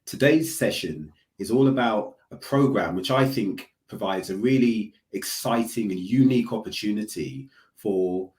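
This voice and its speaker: A man hosting an online conference session, speaking in a polite, measured, formal way. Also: lowish voice, a little mellow. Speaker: host. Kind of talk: webinar hosting. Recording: roomy echo.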